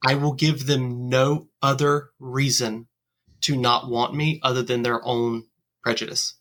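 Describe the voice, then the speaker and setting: A woman's voice: a bass voice, level tone, unhurried. A woman guest on a podcast, answering frankly and thoughtfully. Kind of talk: casual interview answers.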